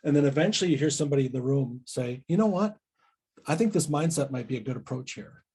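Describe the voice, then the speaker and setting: A man's voice: mid-range voice, quick pace, mellow, breathy edge. A man speaking as a guest coach in a casual podcast discussion, reflective and tentative, often checking in with tag questions.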